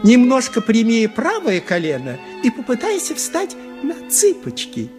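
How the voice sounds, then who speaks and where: An actor playing a pompous, lecherous high priest in a theatrical radio play, speaking in an oratorical, unctuous way. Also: male voice, wildly swooping pitch, unhurried, music in the background, full character voice. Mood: unctuous, delighted. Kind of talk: 1980s Soviet radio play acting.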